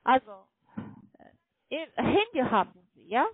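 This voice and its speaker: A woman with a high voice and a foreign accent works as a call centre agent. She speaks slowly and haltingly, guiding a customer through steps. The sound is a dry, tinny phone line. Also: very animated. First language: not German. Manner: halting, non-native phrasing. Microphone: telephone.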